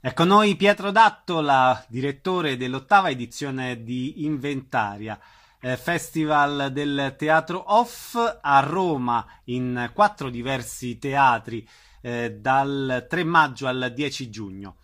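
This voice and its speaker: A man's voice: mid-range voice, slow pace, a bit bright. A man hosting a radio show, interviewing guests in a conversational way. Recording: radio broadcast.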